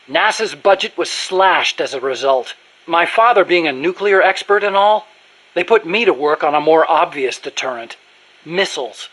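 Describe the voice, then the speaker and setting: An actor playing an earnest, talkative American male nuclear scientist in a video game, explaining things in an animated manner. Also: fairly high pitch, husky, rough recording.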